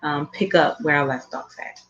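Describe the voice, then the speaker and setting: Podcast co-host, slightly raspy, tired, medium pitch, halting, casual show announcements. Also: fairly even intonation, a bit bright, muffled recording.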